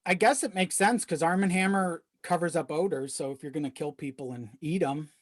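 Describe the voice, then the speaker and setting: A man's voice: a little high, brisk, crowd noise. A man chatting casually as a podcast guest, joking in a wry, hesitant way. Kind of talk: casual comedic chat.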